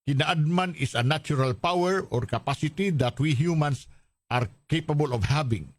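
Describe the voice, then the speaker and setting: A man's voice: a little high, moderate pace, dry recording. A man with a Filipino accent hosting a radio show, chatting casually and reading out listener messages. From Philippines. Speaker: radio drama show host and commentator. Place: radio studio.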